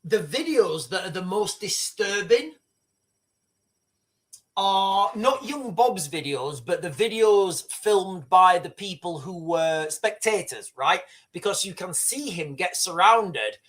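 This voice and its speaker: A man's voice: high voice, expressive, takes it slowly, a bit bright. Middle-aged male livestream host, loose rambling storyteller who swears and restarts sentences. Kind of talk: casual live commentary and storytelling.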